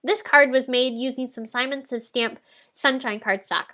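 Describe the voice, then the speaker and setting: A woman narrates a crafting tutorial in a calm, explanatory way, her voice stuffed up and nasal from a head cold. She speaks high and bright, with a clear ring to her tone. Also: normal speed. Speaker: card-making tutorial host. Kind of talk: step-by-step crafting voice-over.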